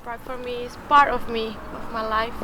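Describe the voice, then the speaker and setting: A woman who is not a native English speaker, talking casually in an interview with halting, simple phrasing. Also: on the high side, little pitch movement. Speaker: interviewee in a documentary. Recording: clear outdoor urban background noise.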